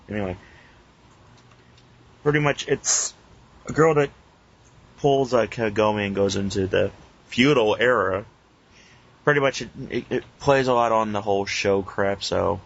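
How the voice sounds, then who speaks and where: A man co-hosting a casual podcast with teasing, profane banter and halting, informal review talk. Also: fairly low pitch, vocal fry, slightly rough. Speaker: podcast host. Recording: muffled lo-fi internet call recording.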